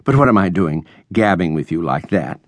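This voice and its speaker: A man voicing a gabby, falsely bright middle-aged landlady in a storybook reading. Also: low-pitched, lively intonation, moderate pace, no echo. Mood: chatty, bright. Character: chatty, gushing middle-aged landlady and proud mother.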